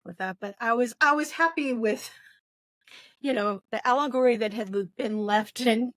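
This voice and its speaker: A woman's voice: a little high, normal speed, a bit bright, no room sound. A woman chatting casually about books as a co-host, rambling a little and thinking aloud as she goes. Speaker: book podcast co-host. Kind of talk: casual book discussion chat.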